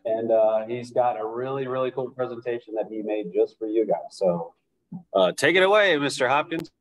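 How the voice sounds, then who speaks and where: A man's voice: mid-range voice, lively intonation, moderate pace, rough recording. A teacher introducing a guest speaker to his students over a video call. He sounds casual and enthusiastic, with a mid-range voice.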